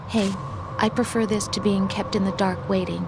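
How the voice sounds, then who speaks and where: An actress playing a young intelligence analyst in a video game, protective and headstrong, speaking in an earnest, assertive but tender way. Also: female voice, medium pitch, steady tone, normal speed, vocal fry, background noise, natural voice. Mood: firm, defiant.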